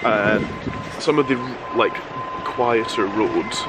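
A man in his twenties, a radio presenter, chatting casually to camera with wry, understated asides. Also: slow. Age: 20s. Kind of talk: casual vlog chat to camera. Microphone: handheld vlog camera. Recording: noisy handheld camera audio, background rumble.

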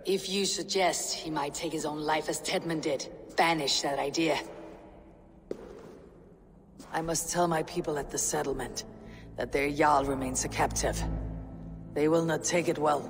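A woman's voice: low-pitched, fairly even intonation, moderate pace. A woman playing a grim, determined Viking warrior in a video game, speaking in a terse, commanding, grave way. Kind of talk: dramatic video game cutscene acting. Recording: game music behind the voice.

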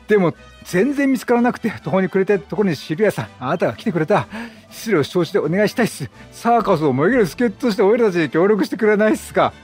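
An eager young circus rookie from a video game, voiced by a man reading aloud. He is earnest and deferential, high-voiced and lively in intonation. Faint music sits behind. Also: racing, hardly any pauses, slightly rough. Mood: earnest, pleading. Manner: earnest, deferential underling talk. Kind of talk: game dialogue read aloud by a player.

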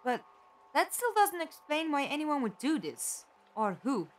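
Casting: actress as plucky, practical, questioning female companion for audio drama; high voice, animated delivery. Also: unhurried. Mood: curious, probing. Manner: questioning, direct. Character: inquisitive, plucky, practical young woman companion.

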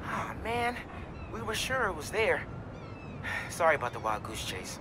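An earnest young sidekick hero from a video game. His pitch is lively and expressive, and there is clear noise behind him. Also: a little slow. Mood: apologetic.

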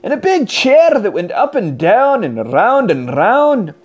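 A man with a Scottish accent narrating a children's picture book in an energetic, expressive way. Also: sits high, a little slow, husky. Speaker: storybook narrator. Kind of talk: animated children's read-aloud.

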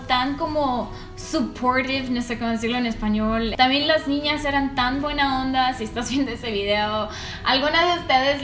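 A young woman with a Russian accent chats to camera in a lively, casual way, her high voice bouncing off the walls of a small echoey room. Faint music sits underneath her speech. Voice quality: animated, gestures widely with her hands. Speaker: vlogger. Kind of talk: casual Q&A vlog.

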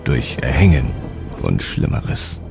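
A man's voice: very deep voice, very animated, takes it slowly, gravelly. A man delivering scripted, dramatic narration for a documentary. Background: music.

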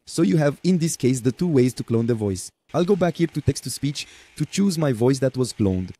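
A man with a Portuguese accent presenting a screen-recorded software tutorial, chatty and talking to viewers as folks with lots of okay and right. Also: mid-range voice, animated, normal speed.

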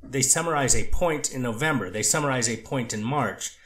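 Guy reading a counselor on the stand, hedges and restarts a lot, average pitch, roomy echo. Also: fairly bright tone, lightly acted. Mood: measured. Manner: hedging, halting with many restarts. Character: earnest male counselor on the witness stand. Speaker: treatment counselor testifying as a witness. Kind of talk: amateur readers theater reading of a court transcript.

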